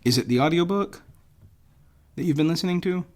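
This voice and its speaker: A man's voice: fairly high pitch, brisk, a little mellow. A man hosting a podcast, talking in an informal, conversational way. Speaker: podcast host.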